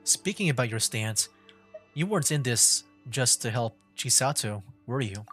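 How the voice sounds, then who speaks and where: Game streamer: medium pitch, informal, loosely phrased commentary over faint game music. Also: lively intonation, moderate pace, fairly bright tone. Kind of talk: casual livestream commentary, reading game dialogue aloud. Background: video game music.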